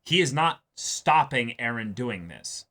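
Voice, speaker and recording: Casting: male reaction co-host, bright sharp tone, casual but emphatic, repeats words while working through ideas. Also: mid-range voice, big pitch swings, measured pace. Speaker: co-host of anime reaction show. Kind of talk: casual excited discussion.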